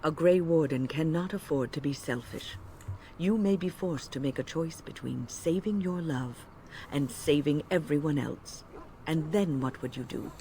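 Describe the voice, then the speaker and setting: An actress playing a grave, wise older woman mage in a fantasy game, speaking in a measured, formal, admonishing way. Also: low-pitched, dark tone, slight background noise. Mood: stern counsel. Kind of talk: scripted fantasy game dialogue.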